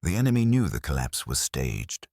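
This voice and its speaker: A man with an extremely low-pitched voice delivers scripted dramatic narration in a measured, deliberate way. His intonation moves widely up and down. The sound is dry and close, with no room around it. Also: big pitch swings, gravelly, darkish tone, dry recording. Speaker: story narrator. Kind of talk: scripted dramatic first-person audiobook-style narration.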